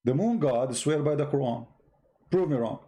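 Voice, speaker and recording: Mellow man, mocking and challenging. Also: mid-range voice, animated, moderate pace. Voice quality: non-native English grammar. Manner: mocking, challenging, repeats phrases and rhetorical questions. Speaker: live-stream host, religious polemicist. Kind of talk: informal argumentative live-stream monologue.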